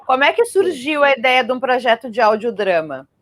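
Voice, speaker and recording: Casting: female host, Brazilian Portuguese accent, slightly high voice, presenting and interviewing for a festival show. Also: very animated, a little fast, fairly bright tone.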